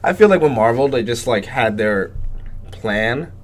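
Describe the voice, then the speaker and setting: A young adult man co-hosting a casual podcast, congested from a cold, talking softly and hesitantly from a little way off the mic. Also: medium pitch, expressive, a little slow. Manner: hesitant, many fillers, soft-spoken. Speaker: podcast co-host. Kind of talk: casual chat. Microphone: sitting far from the mic.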